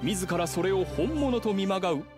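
A man's voice: sits high, lively intonation, normal speed, music in the background. An actor voicing a man telling an old legend with theatrical storytelling. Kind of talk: Japanese game dub.

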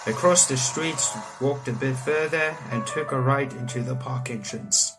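A man in his late teens hosting a let's play, reading visual novel text aloud and breaking into animated asides. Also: mid-range voice, measured pace, music in the background, low-quality recording. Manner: animated asides, exclaiming between read passages. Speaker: gaming channel host. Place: small room indoors at home.

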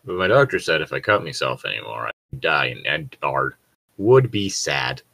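Husky, animated commentator. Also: very deep voice, moderate pace. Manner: sweary, mock-exasperated. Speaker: reader and commentator. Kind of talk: dramatic reading of fan fiction with joking commentary.

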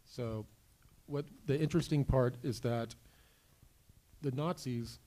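A man on a panel answering a question haltingly, often repeating words. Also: mid-range voice, dark tone.

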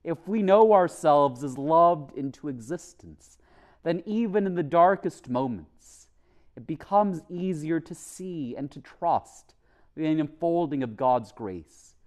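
Higher-voiced male priest preaching earnestly. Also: animated, a little mellow. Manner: deliberate, earnest, with pauses for emphasis. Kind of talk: homily, measured preaching. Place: church chapel, at a lectern. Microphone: lectern microphone.

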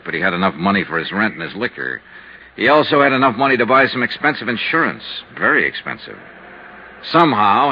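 An actor playing a hard-boiled, weary insurance investigator in a 1950s radio detective drama. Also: male voice, low-pitched, a little hiss or hum. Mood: probing, terse. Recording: muffled 1950s radio recording.

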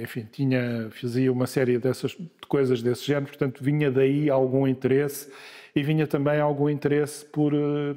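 A man in his sixties from Lisbon, telling his life story in a relaxed interview, pausing often with filler sounds. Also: mid-range voice. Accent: Lisbon Portuguese. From Portugal. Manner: unhurried, hesitant with many filler sounds and restarts. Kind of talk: conversational interview. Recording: long hall reverb.

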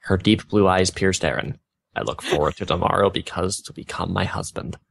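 A man's voice: sits low, steady tone, brisk, creaky, a bit bright, breathy edge. A young man reading a story aloud, stumbling over garbled wording and breaking off with bemused asides. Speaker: fan fiction reader on a gaming video.

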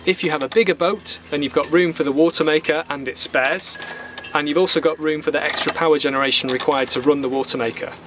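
A man's voice: fairly high pitch, fairly even intonation, quick pace, a bit bright. A man in his thirties hosting an instructional sailing vlog, explaining things plainly to camera. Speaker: sailing channel host. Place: outdoors on a small boat in a marina. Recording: outdoor marina background noise.

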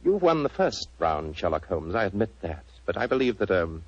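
An actor playing a sly, unruffled criminal mastermind with silky, mock courtesy in a 1940s radio drama. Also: male voice, low voice, big pitch swings, normal speed, breathy edge, no room sound, full character voice. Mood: sly, amused. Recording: muffled vintage radio recording, faint music.

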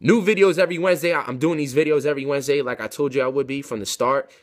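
A man in his twenties talks off the cuff in a home video blog. His delivery is slangy and emphatic, wandering from thought to thought at an ordinary mid-range pitch, picked up by a laptop microphone in a room at home. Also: very fast, loud music behind the voice. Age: 20s. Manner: slangy, emphatic, rambling street-style talk. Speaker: rapper and video blogger. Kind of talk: casual vlog talk to camera. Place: home room with bookshelves, indoors. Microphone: laptop webcam mic at arm's length.

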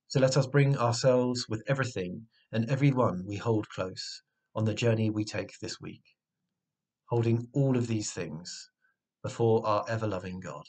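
A young man with a husky, mid-pitched voice delivers a sermon. He speaks in a measured, reflective way, with earnest weight on his phrases. He is recorded in a small room at home on a device microphone. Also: a little mellow. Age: 20s. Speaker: preacher. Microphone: webcam or device mic at arm's length.

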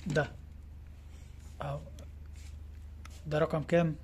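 A man's voice: fairly high pitch, flat delivery, slow, a little hiss or hum. A man with an Egyptian Arabic accent, a fishing tackle shop owner, casually presenting giveaway prizes in his shop and coaxing children along, often checking in with "tamam?".

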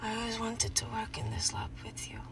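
A woman's voice: fairly high pitch, monotone, fairly quick, whispered, close to an everyday voice. Woman acting cryptic, ailing young patient. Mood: drifting. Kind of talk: film drama acting.

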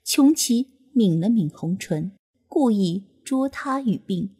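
A woman reading a novel as an audiobook narrator, in an expressive scripted storytelling style. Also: mid-range voice, takes it slowly, slightly rough.

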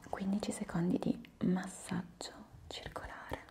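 A young woman whispers softly in an ASMR roleplay as a reflexology therapist. Her voice is breathy and a little low for a woman. She gives polite, soothing instructions at a very slow, unhurried pace. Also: level tone. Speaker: ASMR performer playing a palm reflexology therapist. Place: small indoor room at a table. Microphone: lavalier microphones close by.

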